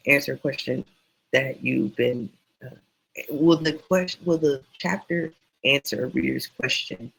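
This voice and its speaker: A man teaching writing in a casual, meandering, encouraging way, his voice going hoarse. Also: medium pitch, a bit bright, poor audio. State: losing voice, hoarse. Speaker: self-published author teaching writing.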